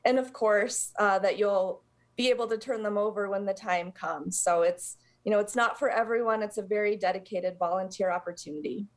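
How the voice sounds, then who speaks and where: A woman's voice: medium pitch, fairly even intonation, fairly quick. An American woman speaking as a guest in a live television interview, explaining volunteer work in a conversational way for a charity. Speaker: interview guest, service dog charity representative.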